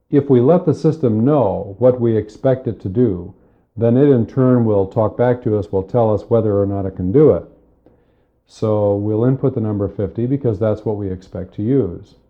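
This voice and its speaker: Low-voiced male instructor on dull cassette. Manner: methodical, explanatory, with pauses. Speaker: software tutorial instructor. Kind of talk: spoken step-by-step computer lesson.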